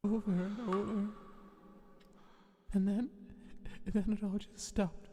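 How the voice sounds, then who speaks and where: A woman voicing a fragile, frightened young skeleton brother in a comic dub, halting and repeating words in anguish. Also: mid-range voice, level tone, mellow, husky, no room sound. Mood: haunted. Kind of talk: animated comic dub acting.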